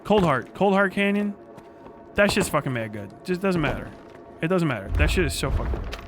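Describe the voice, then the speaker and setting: A man's voice: on the high side, animated, fairly quick, over loud music. A man livestreaming casually while gaming, chatting in a rambling, profane, slangy way. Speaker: livestreamer reacting to a video. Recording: clearly noisy livestream audio with clicking.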